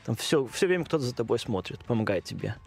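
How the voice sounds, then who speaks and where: Gravelly man with a Danish accent. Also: medium pitch, animated, soft background music. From Denmark. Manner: hesitant, many pauses and fillers. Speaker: guest. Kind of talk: casual interview conversation.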